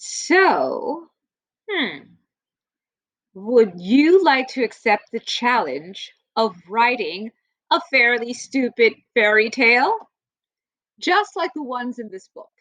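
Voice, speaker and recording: A woman reading a children's storybook aloud like a teacher, with playful, theatrical emphasis and drawn-out phrases. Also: fairly high pitch, sing-song, a bit bright, dry recording.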